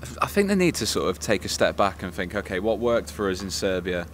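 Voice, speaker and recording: A man giving a casual spoken review in a measured, hesitant, diplomatic way as a co-presenter. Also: sits low, sing-song. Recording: faint background ambience noise.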